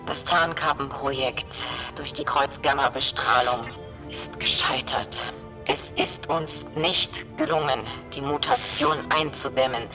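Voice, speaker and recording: A woman playing a grim scientist who delivers a measured, formal report in a sci-fi horror radio drama. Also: extremely low pitch. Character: grim female scientist reporting a failed experiment. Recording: loud music behind the voice. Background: music.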